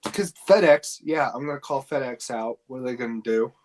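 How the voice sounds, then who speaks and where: A man's voice: mid-range voice, a little fast. A man co-hosting a podcast, chatting casually and jokingly in slangy speech. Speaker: podcast co-host.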